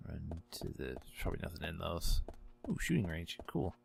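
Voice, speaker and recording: A man's voice: deep voice, very animated, normal speed, creaky, background noise. A man giving casual, offhand commentary while playing a video game. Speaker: gamer recording a playthrough. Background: video game music and audio.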